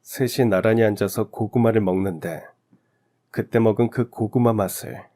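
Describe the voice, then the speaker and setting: A man's voice: lowish voice, fairly even intonation, normal speed, dark tone. A man narrating a scripted first-person life story as a radio story narrator.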